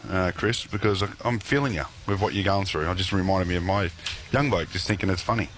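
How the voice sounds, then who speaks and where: A man's voice: deep voice, fast, background noise. A man with an Australian accent, co-hosting a radio show and speaking in a friendly way. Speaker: radio co-host.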